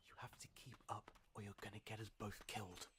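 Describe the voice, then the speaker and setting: A man's voice: lowish voice, level tone, brisk, fairly bright tone, slight background noise, close to an everyday voice. A man playing a wary, teasing catfolk forest guide in an audio roleplay, hesitant and sardonic with muttered asides. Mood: urgent. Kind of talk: audio roleplay spoken to the listener.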